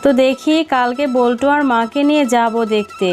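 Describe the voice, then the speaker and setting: A woman plays a gentle mother-in-law in a cartoon, speaking high and soft. Strong music sits behind the voice. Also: moderate pace, natural voice. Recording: loud background music.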